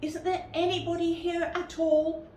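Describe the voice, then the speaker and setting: A woman's voice: very high voice, flat delivery, a little slow, darkish tone, pitched above the performer's own voice. A woman voicing a friendly, naive storybook bear who loves food and humming, with an earnest, sing-song manner. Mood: puzzled, earnest. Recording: roomy, distant mic with faint noise.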